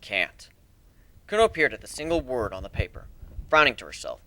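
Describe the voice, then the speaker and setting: A man reading a story aloud as a dramatic narrator, in a measured, deliberate way with expressive emphasis. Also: medium pitch, dry recording.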